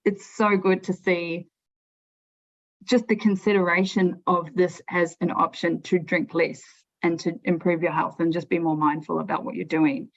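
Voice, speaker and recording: A woman's voice: lowish voice, level tone, normal speed. A woman from New Zealand with a New Zealand accent, telling her story as a podcast guest in a frank, chatty way. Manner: chatty, frank, frequent restarts and "like". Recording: lo-fi remote audio.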